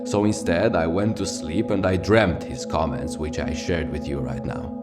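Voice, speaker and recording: Casting: male actor as brooding late radio host of a surreal city, low voice, poetic and profane, loud music underneath. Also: moderate pace, natural voice. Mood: resigned, wry. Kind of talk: scripted fiction radio monologue. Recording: music behind the voice.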